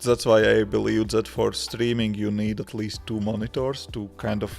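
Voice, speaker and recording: A man in his thirties with a Latvian accent, a developer chatting casually on a live stream in simple, halting English with many restarts. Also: lowish voice, level tone, vocal fry, darkish tone, soft background music. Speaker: livestreaming developer answering chat.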